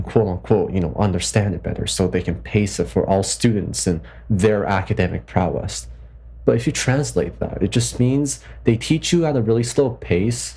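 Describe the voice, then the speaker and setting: A man's voice: sits low, a little mellow, breathy edge. A young man from Canada in a personal vlog, reflecting thoughtfully and hesitantly, often restarting his sentences. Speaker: vlogger.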